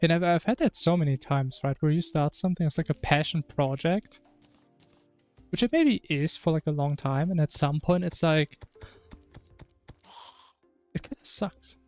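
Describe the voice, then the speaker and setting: A man's voice: a little high, moderate pace, long pauses, darkish tone, faint music behind. A man streaming a video game, chatting casually and musing in a rambling way. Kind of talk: casual chat while gaming.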